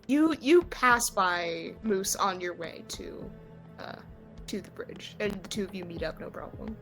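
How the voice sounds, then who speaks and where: A woman's voice: medium pitch, animated, unhurried, a bit bright, soft background music, low-quality recording. A woman acting as game master, narrating and explaining the scene to players in an easy conversational way. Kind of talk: narrating and refereeing a tabletop game, unscripted.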